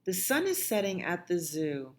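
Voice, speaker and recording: A woman hosting a storytime for young children, reading aloud and reciting rhymes in a gentle sing-song way, often dropping to a hush. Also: fairly low pitch, wildly swooping pitch, moderate pace. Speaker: children's librarian and storytime host.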